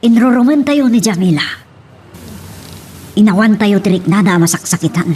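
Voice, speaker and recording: A woman's voice: mid-range voice, expressive, normal speed, darkish tone, breathy edge. A woman with an Ilocano accent playing a grieving, remorseful middle-aged mother in an audio melodrama, lamenting dramatically. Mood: bitter remorse. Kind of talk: Ilocano radio-style melodrama acting.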